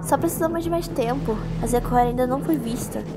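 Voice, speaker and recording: Woman acting high-voiced anxious cartoon pony, loud music. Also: fast. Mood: grave, pleading. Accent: Brazilian. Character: anxious young female cartoon ponies, pleading and grave. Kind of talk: dramatic animation fan dub.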